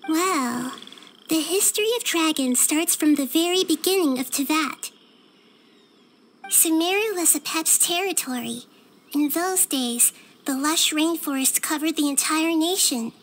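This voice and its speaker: A woman voicing a gentle, child-sized female deity in a video game, speaking in a measured, thoughtful way. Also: high-pitched, a little hiss or hum. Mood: solemn, explanatory. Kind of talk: video game character dialogue.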